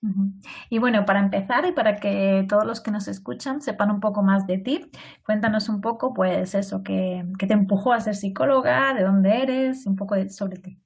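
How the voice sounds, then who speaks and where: A woman's voice: medium pitch, fairly even intonation, moderate pace, creaky. A woman hosting a podcast, talking conversationally and often checking in with tag questions. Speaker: podcast host. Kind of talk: podcast interview.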